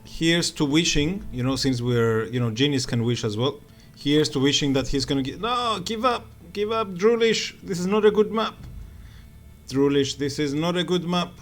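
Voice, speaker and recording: A man's voice: on the high side, lively intonation, moderate pace, soft background music. A man streaming live, joking with chat and talking through his moves as he plays. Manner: joking, thinking aloud while playing. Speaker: game streamer. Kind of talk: live gameplay commentary.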